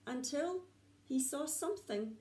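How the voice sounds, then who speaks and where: A woman reading a story to young children like a nursery teacher, with dramatic pauses and repeated words for suspense. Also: sits high, animated, dark tone. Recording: echoey room.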